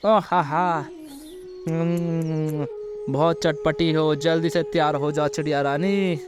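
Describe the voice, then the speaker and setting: A man voicing a treacherous, hungry male vulture in a children's cartoon story, gloating with drawn-out laughs and hums. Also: a little high, fairly even intonation. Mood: menacing, hungry. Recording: loud music bed.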